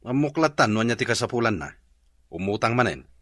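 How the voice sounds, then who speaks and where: An actor playing a wry, sympathetic older brother in an Ilocano comedy radio drama, with an Ilocano accent. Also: male voice, medium pitch, sing-song, fast talker, a bit bright, dry recording, clean studio sound. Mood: resigned, wry.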